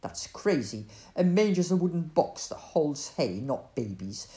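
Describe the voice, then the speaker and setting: A grumpy, stubborn shepherd, put on by a woman, grumbling and snapping with cross, indignant swings in pitch. Slow and deliberate, heard in an echoey room. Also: sing-song, dark tone. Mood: scoffing.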